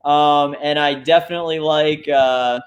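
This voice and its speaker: A man's voice: on the high side, steady tone, measured pace, dull audio. A man from Florida with an American accent, chatting informally as an interview guest and thinking aloud. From Florida, United States. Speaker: fishing charter captain, interview guest. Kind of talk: casual chat.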